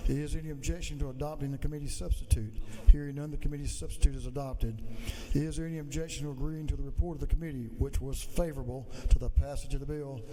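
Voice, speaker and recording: A man presiding over a legislative session, reciting procedural phrases in a measured, formal way. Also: on the high side, steady tone, dark tone. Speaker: presiding officer of a state legislative chamber. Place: large legislative chamber. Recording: long chamber reverb, faint noise.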